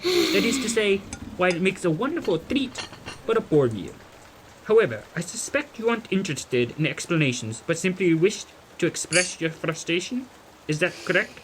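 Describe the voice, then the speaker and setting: A man playing a kindly, learned, wordy gentleman who explains things formally in an audio drama. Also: sits high, very animated, moderate pace, slight background noise, poor audio. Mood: patient, reassuring. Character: kindly, learned, verbose gentleman leading a group of children. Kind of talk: audio drama dialogue and narration.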